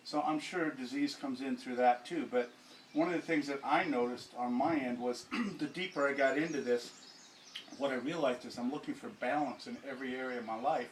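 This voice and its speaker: A man's voice: mid-range voice, fairly quick. A man hosting a casual interview in someone's home, effusive, laid-back and earnest. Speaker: interviewer, host of his own channel. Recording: roomy, distant, noisy recording.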